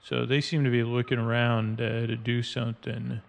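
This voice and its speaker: A man hosting a podcast, talking in a halting, meandering, unscripted way as he describes things in front of him. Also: mid-range voice, flat delivery, gravelly. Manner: halting, meandering, spells out odd words. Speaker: podcast host. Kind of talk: rambling, unscripted narration describing game maps and artwork. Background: music bed and sound effects.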